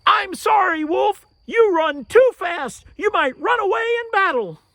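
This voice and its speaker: Storybook turtle, male, sly and boastful, high and shouting, gravelly edge, put on by a storyteller. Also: normal speed, dry recording, clean studio sound, full character voice. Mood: sly, taunting. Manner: high shouted taunts, sly whispered thoughts.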